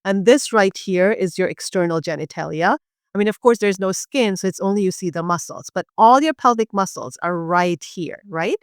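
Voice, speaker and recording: A woman's voice: medium pitch, moderate pace, no room sound, studio quality. A woman from Bangladesh, a urogynecologist guest, explaining pelvic health in an earnest, passionate conversational way with many fillers.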